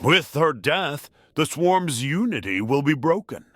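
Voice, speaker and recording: A man's voice: a little high, very animated, a little slow, a bit bright, dry recording, clean studio sound, exaggerated voice. A man playing a bold, resolute warrior lord and battle leader in a fantasy audio drama.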